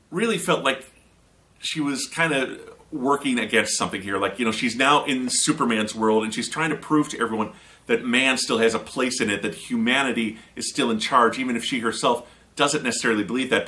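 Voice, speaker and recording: A man reviewing a superhero film on his vlog, opinionated and emphatic, his voice climbing high when he rants. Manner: animated and emphatic, rising sharply when ranting. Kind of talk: opinionated movie review monologue.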